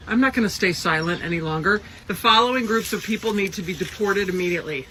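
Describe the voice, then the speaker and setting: A woman delivering a deadpan, mock-serious comic list, with faint noise behind her. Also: medium pitch, fast. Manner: mock-serious, listing pet peeves. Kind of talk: deadpan comedic monologue.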